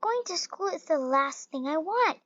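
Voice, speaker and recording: A reader telling a rhyming children's story in an expressive, deliberate storytelling manner. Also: very high voice, dry recording. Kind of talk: read-aloud of a rhyming children's picture book. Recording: dull lo-fi recording, little room sound.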